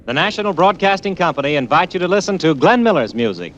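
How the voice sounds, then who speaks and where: A man's voice: fairly high pitch, big pitch swings, fast talker, highs cut off. A man giving a formal 1940s radio broadcast introduction. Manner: formal, crisp announcing. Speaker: radio announcer.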